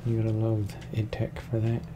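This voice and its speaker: Froggy-voiced man, low-pitched, noisy recording. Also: monotone, normal speed. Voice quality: slightly froggy. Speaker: gameplay streamer. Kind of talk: casual let's play commentary. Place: his room at home. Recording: clearly noisy home recording.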